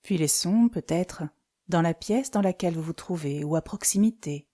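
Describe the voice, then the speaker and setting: Low, mellow-voiced woman guiding meditation. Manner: calm, soothing, gently instructing in short phrases with pauses. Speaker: yoga nidra instructor. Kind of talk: guided meditation.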